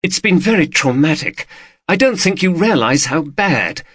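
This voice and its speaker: Brief: man doing a shaken woman, higher and bright, pleading and anxious. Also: very animated, normal speed, breathy edge, dry recording, clean studio sound, full character voice. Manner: anxious, pleading, rising to shouts. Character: frightened, tearful woman confronting her partner.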